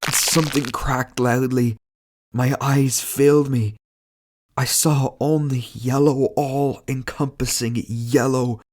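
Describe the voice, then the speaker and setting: A man with a stuffy cold voice narrating a horror story in a measured, slow, dramatic delivery. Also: medium pitch. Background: music.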